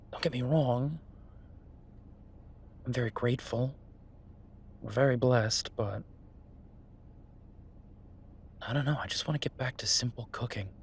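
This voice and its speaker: A man acts out an easygoing chef in an audio roleplay, speaking at a middling pitch. He is earnest and reassuring, chatting in halting, conversational phrases as if to a close partner. Noticeable ambient noise runs behind him. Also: natural voice. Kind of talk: roleplay audio drama, conversational monologue to listener. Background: ambient sound effects.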